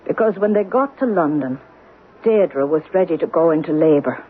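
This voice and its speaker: A woman's voice: low voice, animated, husky. Grave older Irish woman, tinny old radio. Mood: grave, knowing. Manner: storytelling, deliberate, lilting turns of phrase. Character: older Irish woman, Miss Connaught, grave keeper of family secrets. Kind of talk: old-time radio drama acting.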